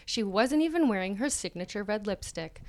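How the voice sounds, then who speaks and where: A woman's voice: mid-range voice, animated, normal speed. Woman giving a dramatic essay reading. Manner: reads aloud theatrically, breaking off into chatty banter. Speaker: podcast co-host.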